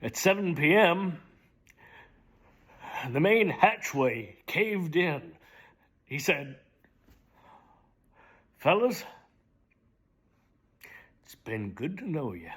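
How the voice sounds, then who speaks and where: Middle-aged man, dramatic reader, husky voice, very slow, theatrical hushed delivery. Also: fairly high pitch, big pitch swings. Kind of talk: dramatic spoken reading of song lyrics.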